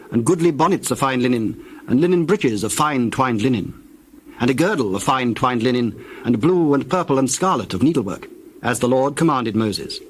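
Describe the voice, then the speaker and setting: A man narrating scripture in a steady, measured reading voice. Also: mid-range voice, slight background noise.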